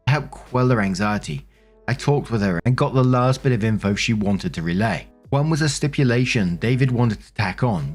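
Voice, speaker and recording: Low-pitched British man narrating online stories. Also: darkish tone. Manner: conversational, addresses listeners directly. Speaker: host reading and reacting to online stories. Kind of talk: narrating forum stories with casual commentary.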